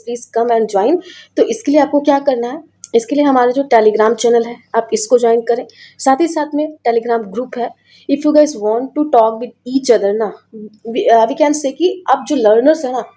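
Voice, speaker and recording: A woman with a North Indian accent lectures students online in a fairly high, very soft-toned, mellow voice. Her throat is sore, and she explains in a chatty way, often switching into English and repeating phrases. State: sore throat, voice affected by illness. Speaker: teacher, online course presenter.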